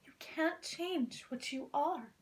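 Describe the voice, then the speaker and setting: A woman voicing a kind, caring young princess in a children's storybook, gentle, earnest and expressive. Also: sits high, takes it slowly. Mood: kind, encouraging.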